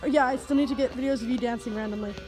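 A woman's voice: on the high side, steady tone, rapid-fire. A teenage girl filming friends on her phone in a shopping mall, talking casually, teasing and laughing often. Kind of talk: casual chat behind the camera. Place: large indoor shopping mall. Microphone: phone held in hand. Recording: busy mall crowd, music behind.

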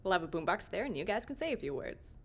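A woman voicing a controlling, self-appointed male mediator in a comedy fan dub, measured, persuasive and pompous. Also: lowish voice, very animated. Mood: diplomatic.

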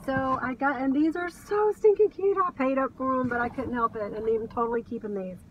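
High-voiced American woman chatting in a car. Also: fairly quick. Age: middle-aged. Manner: gushing, enthusiastic. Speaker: reseller vlogger. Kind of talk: casual chat to camera, showing thrift finds. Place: inside a car. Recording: car cabin, distant mic, background noise.

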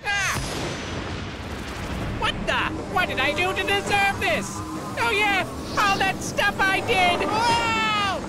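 Batty, high-pitched old mage. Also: male voice, slow, over music, a crowd in the background. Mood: panicked. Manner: eccentric, whiny, excitable. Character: batty old bearded male mage obsessed with his puppet. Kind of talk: animated game comic villain acting.

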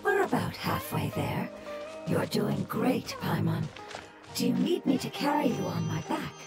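A woman voicing a gentle floating fungus creature in a video game, with soft, measured, reflective speech. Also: on the high side, very animated. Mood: gentle, thoughtful. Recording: game music under the voice.

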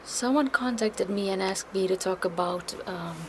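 A woman's voice: mid-range voice, moderate pace. A woman in her thirties from Finland with a Finland-Swedish accent, whose first language is Swedish, talking candidly and rambling a little, with some swearing, in a casual vlog. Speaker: vlogger. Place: outdoor balcony of an apartment block. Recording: noisy outdoor street ambience.

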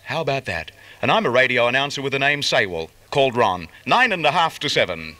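A man's voice: medium pitch, lively intonation, a little fast, pushed and bright, no room sound. An Australian man presenting a 1970s commercial radio breakfast show as its host.

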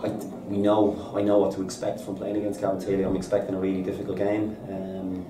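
A man's voice: low voice, completely flat delivery, distant mic, background noise. An Irish man in his thirties, a football manager giving an upbeat post-match interview, with "listen" in many of his answers. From Ireland. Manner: upbeat, fast and repetitive, says "listen" a lot. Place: bare indoor room at a stadium. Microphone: reporter's handheld recorder held out. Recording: echoey room, off-mic, crowd behind.

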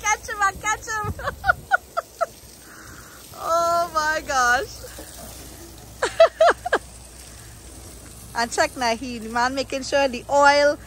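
A woman's voice: sits high, expressive, drawn-out words, pauses often, fairly bright tone. A playful woman with a Caribbean accent hosting a casual, teasing vlog from behind the camera, often giggling. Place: outdoors in a parking area. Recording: noisy outdoor ambience. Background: outdoor and vehicle noise.

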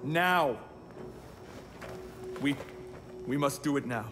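A man's voice: medium pitch, drawn-out words, noisy background. A man playing a solemn, zealous, impatient traitor in a video game, earnest and insistent. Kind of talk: video game cutscene acting. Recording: long reverb, music behind.

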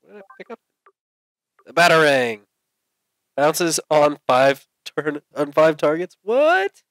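A man's voice: a little high, very animated, slow, a bit bright, no room sound, studio quality. A man hosting a gaming video with casual, jokey commentary, muttering to himself and exclaiming. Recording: game music behind the voice. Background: video game music.

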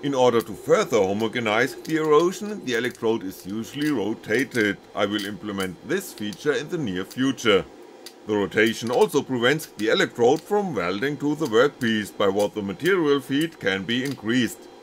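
A man's voice: mid-range voice, expressive, moderate pace, a little hiss or hum. A man reading scripted technical narration as a maker presenting his own project.

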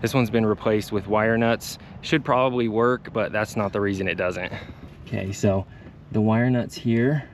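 A man's voice: fairly low pitch, fairly even intonation, moderate pace, slight background noise. A young man, a mobile mechanic, talking casually to the camera, venting about his work and explaining repairs step by step.

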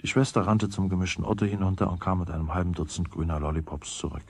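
Deep, husky male storyteller. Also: little pitch movement, fast, a little mellow, dry recording. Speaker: narrator. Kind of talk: scripted narration of a radio play, storybook telling.

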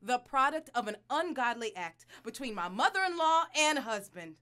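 An actress playing a bitter, devout wife in an audio drama, intense and deliberate in her delivery. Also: on the high side, animated, sharp tone. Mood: bitter, incensed. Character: bitter, anguished wife and reluctant adoptive mother, devout. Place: small recording studio. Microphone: studio condenser mic.

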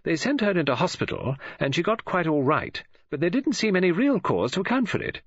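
A woman's voice: lowish voice, very animated, a little fast, husky, no echo, muffled recording, pitched above the performer's own voice. A man voicing a chatty, flustered middle-aged English woman novelist in an audiobook, with a gushing, breezy manner. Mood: confiding.

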